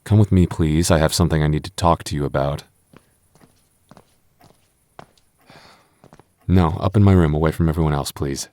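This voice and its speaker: A man's voice: very deep voice, fast talker, darkish tone, no echo. A man acting an exasperated young male game master and childhood friend in an audio roleplay, with a hesitant manner. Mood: flustered.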